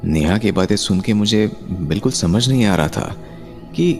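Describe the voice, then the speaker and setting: A man with a deep voice narrates a horror tale as if he lived it. He builds suspense with drawn-out, dramatic pauses. Music plays behind him. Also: lively intonation, a little mellow. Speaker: narrator of a horror story, voicing its main character. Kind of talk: dramatic first-person horror storytelling.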